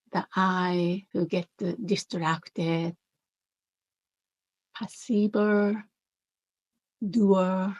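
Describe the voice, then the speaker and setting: A woman teaching a guided meditation in a gentle, unhurried manner, pausing between short phrases. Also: on the low side, no echo, lo-fi sound.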